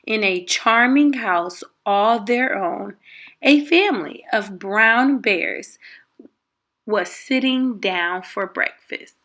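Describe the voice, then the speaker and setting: A woman reading a children's story aloud in an expressive, deliberate and emphatic narrating style. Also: medium pitch. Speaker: storytime reader.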